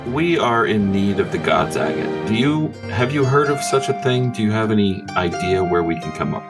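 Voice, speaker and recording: A man's voice: lowish voice, lively intonation, moderate pace, over music. A man playing at a tabletop game, speaking formally in character. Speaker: player.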